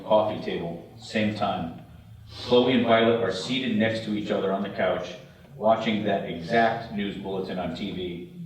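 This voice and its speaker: A man reading scripted stage directions at a table read. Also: on the low side. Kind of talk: scripted narration. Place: echoey room, away from the mic. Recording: echoey room, distant mic, faint noise.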